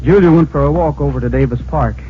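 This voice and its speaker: An actor playing an easygoing, inquisitive American hero, polite and folksy, in an old-time radio drama. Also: male voice, on the high side, fast talker, close to an everyday voice. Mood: serious. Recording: muffled, faintly noisy old radio recording.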